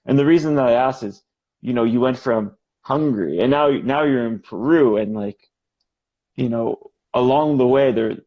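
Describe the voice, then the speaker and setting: A man hosting an interview podcast, chatting casually with plenty of fillers and quick affirmations. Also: mid-range voice, muffled recording. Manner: conversational, loose, with many fillers and quick affirmations. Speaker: podcast host.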